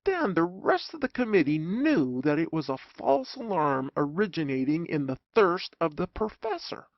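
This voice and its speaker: An audiobook narrator reading a humorous story in a theatrical, expressive way. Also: medium pitch, moderate pace, dry recording, dull audio.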